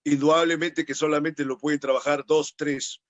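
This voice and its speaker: A man hosts a radio interview in a slightly high voice recorded close and dry. He keeps the talk moving with quick agreements and short follow-up questions. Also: flat delivery, no echo. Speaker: radio show host.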